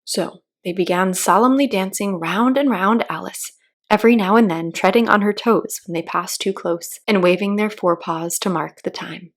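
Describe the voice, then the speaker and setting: A woman narrating a children's story in an expressive read-aloud style. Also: medium pitch, normal speed.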